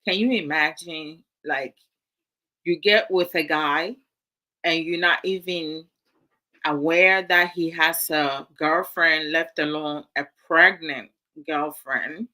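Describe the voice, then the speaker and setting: A woman's voice: low-pitched, fairly even intonation, takes it slowly, bright, forceful tone. A woman from Ghana, giving casual commentary to her live viewers in a musing, hesitant way with many fillers. Her is Twi. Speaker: vlog host.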